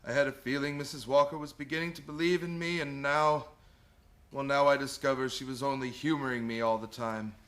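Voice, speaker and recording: An actor playing a kindly but feisty old Santa Claus in a radio play, earnest and old-fashioned. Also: male voice, mid-range voice, steady tone, a little fast, fairly bright tone, close to an everyday voice. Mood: hurt, downcast. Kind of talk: stage-style radio play acting.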